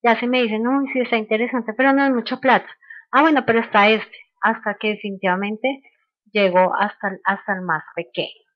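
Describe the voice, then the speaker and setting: A woman giving an informal sales training webinar, explaining haltingly and checking in with short questions. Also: a little high, fairly even intonation, no room sound. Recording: dull, lo-fi online call audio.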